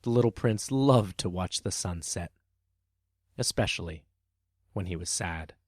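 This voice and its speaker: A man narrating a children's tale as a podcast storyteller, with expressive, measured and dramatic phrasing. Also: low voice, dry recording, clean studio sound.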